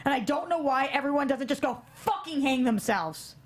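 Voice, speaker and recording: High voice, actress as bitter panicky woman fugitive, curt, exasperated, crime comedy script read. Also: expressive, normal speed. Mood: despairing, bitter. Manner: curt, exasperated, foul-mouthed. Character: jaded, panicky, irritable woman on the run.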